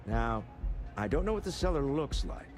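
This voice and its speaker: An actor playing a wry, measured older man in a company's leadership, in video game dialogue with music behind. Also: mid-range voice, very animated, lightly acted. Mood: briefing.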